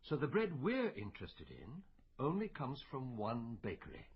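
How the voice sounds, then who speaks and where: An actor with a British accent playing a wry, musing, courteous investigating doctor in a radio drama. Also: male voice, medium pitch, wildly swooping pitch, normal speed, slightly rough, muffled recording, exaggerated voice. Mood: musing, persuasive.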